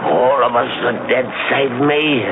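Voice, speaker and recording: Male actor as rough, fatalistic seaman, wry and salty, unhurried speech, 1970s radio drama on a tinny, very noisy recording. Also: medium pitch, steady tone, close to an everyday voice. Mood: grimly accusing. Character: rough, wry male ship's cook, fatalistic old-time sailor.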